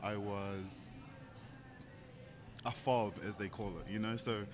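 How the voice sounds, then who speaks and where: Soft-spoken Tongan-born man over harmonica music. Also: lowish voice, little pitch movement, slow pace. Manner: thoughtful, frequent ums and restarts. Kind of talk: reflective personal story. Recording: harmonica music playing behind.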